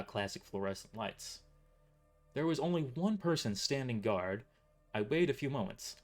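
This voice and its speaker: A man reading a first-person story as a dramatic narrator, occasionally stumbling over his words. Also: mid-range voice. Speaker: author narrating own novel.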